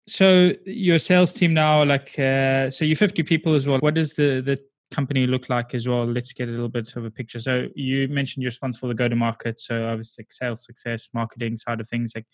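A man hosting a podcast, asking questions in a relaxed way with some fillers. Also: mid-range voice, no echo. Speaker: podcast host. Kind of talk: casual interview chat.

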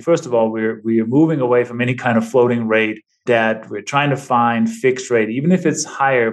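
Man, chatty guest. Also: medium pitch. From Denmark. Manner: restarts often, tags points with "right?". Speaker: podcast guest. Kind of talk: casual interview talk.

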